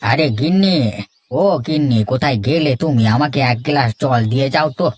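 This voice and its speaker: A man's voice: on the high side, fairly quick, lightly acted. A man voicing a stubborn, defiant village poultry keeper and grumbling husband in a cartoon, argumentative. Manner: indignant, argumentative. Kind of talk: cartoon dialogue acting. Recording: faint music behind.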